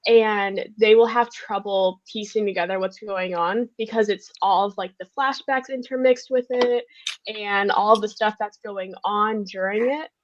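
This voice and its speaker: A woman's voice: medium pitch, fairly even intonation, a little slow. A woman chatting casually as a podcast panelist in a group review, on slightly muffled audio. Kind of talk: casual group chat, film and musical review.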